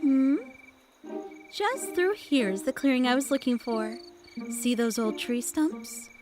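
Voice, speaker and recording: A young woman acting a calm, mysterious big sister telling tales in a measured way in an audio play. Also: sits high, music in the background. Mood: calm, teasing. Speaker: storyteller. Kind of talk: dramatic storytelling in an audio play.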